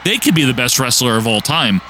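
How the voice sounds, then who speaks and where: A man's voice: medium pitch, sing-song, fast, pushed and bright, a little hiss or hum. A man co-hosting a podcast, chatting casually while watching old wrestling, with sarcastic jokes and play-by-play asides. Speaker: podcast co-host.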